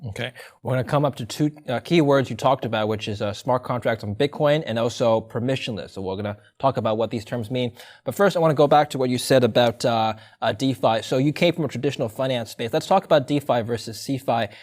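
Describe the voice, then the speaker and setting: Young male anchor, brisk and conversational, mid-pitched. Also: a little mellow. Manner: brisk, scripted-sounding introductions, conversational questions. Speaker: news anchor, interviewer. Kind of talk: news interview.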